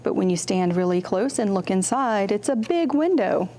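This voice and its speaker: A woman's voice: medium pitch, normal speed. A woman hosting a children's storytime, reading picture books aloud expressively and putting simple questions to her young listeners. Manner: expressive, acts out contrasts with her voice. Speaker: children's storytime host, librarian.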